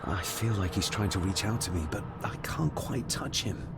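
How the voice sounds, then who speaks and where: Casting: haunted young heir in a period mystery game, low-pitched and brooding, heavy ambient noise. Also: male voice, lively intonation, normal speed, vocal fry. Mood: earnest, troubled. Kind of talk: video game voice acting. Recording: loud ambient rumble behind voice.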